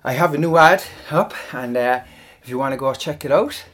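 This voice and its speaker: A man's voice: medium pitch, normal speed, fairly bright tone. A man chatting casually while working out. He rambles between sets, laughs often, and sounds out of breath and sweaty. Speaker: online audio creator.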